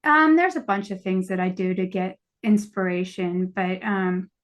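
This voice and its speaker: A woman's voice: medium pitch, normal speed. A woman chatting casually as an author guest on a podcast, easygoing and laughing often. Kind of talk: casual chat.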